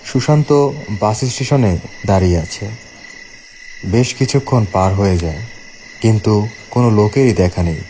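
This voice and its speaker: A man's voice: fairly low pitch, normal speed, long pauses, mellow, slight background noise, rough recording. A man giving scripted narration for a horror cartoon tale.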